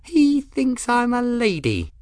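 A narrator voicing an odd, fey, flustered young apprentice girl in an audiobook, breathy and rambling to herself in the third person. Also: medium pitch, huge pitch swings, normal speed, dry recording, voice pushed up. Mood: pleased, chatty. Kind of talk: audiobook character dialogue.

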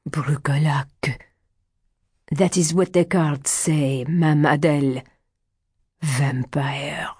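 Woman voicing a slow, superstitious old fortune-teller man. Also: low voice, fairly even intonation, darkish tone, slightly rough, no room sound, studio quality, heavily acted. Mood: ominous, slow. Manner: slow, exclamatory, foreboding, with muttered asides. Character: withered, superstitious old male fortune-teller, sly and ominous. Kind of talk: audiobook character dialogue.

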